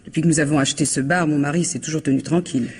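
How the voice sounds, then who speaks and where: An actress playing a tartly defiant widowed bar owner in a French radio mystery, measured and evasive. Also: female voice, sits low, fairly even intonation, darkish tone, husky. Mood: defensive. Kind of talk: 1950s-style French radio mystery acting.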